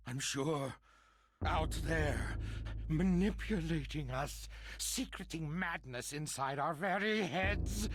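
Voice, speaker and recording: An actor playing a paranoid, deranged killer in a video game, confessing in a theatrical, unhinged monologue that moves between hushed whispers and wails. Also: male voice, on the high side, noisy background. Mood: secretive, menacing, then anguished, horrified. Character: paranoid, deranged murderous man confessing his killings.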